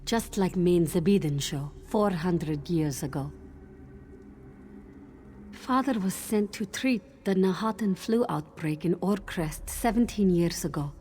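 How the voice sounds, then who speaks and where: A woman playing a young cat-woman mystic in a fantasy game, earnest and reflective, sometimes halting. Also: fairly low pitch, darkish tone, slight background noise, lightly acted. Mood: somber, reflective. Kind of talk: dramatic fantasy game acting.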